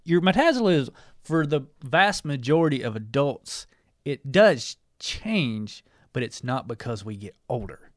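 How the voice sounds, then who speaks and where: A man in his forties co-hosting a casual talk show, joking and easygoing, quick to laugh. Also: medium pitch, very animated, dry recording, studio quality. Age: 40s. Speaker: co-host. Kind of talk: casual chat.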